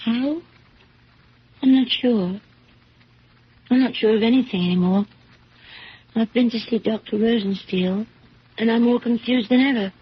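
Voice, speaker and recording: An actress playing an earnest, self-doubting woman accused of theft, halting and pleading, in a 1970s radio drama. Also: a little high, breathy edge. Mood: confused, resigned. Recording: dull, noisy old radio recording.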